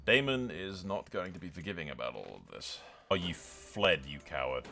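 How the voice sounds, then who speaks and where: Excitable sweary gamer, bright forceful male voice. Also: sits low, normal speed, vocal fry, faint music behind. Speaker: host of a gaming channel. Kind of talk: playthrough commentary with in-character narration.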